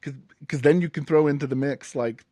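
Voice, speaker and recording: A man's voice: a little high, brisk, mellow, no echo. A man hosting a podcast, asking long questions while searching for words, with many restarts. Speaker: podcast host. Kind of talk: interview questions.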